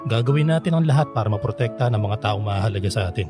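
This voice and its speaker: A man's voice: lowish voice, expressive, fast talker, natural voice. An actor playing a competitive, teasing but devoted middle-aged father in a radio drama, exhorting his son. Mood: fatherly, earnest. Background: background music.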